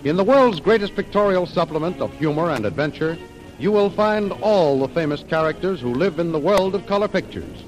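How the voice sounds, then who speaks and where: Male announcer for an old-time radio adventure serial, slightly high voice, dramatic scripted narration over faint music. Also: expressive, a little fast, poor audio.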